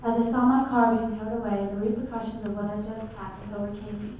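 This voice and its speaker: A woman's voice: mid-range voice, level tone, fast talker, mellow, a little hiss or hum. A teenage girl reading her essay aloud steadily. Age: teens. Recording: echoey room from far off.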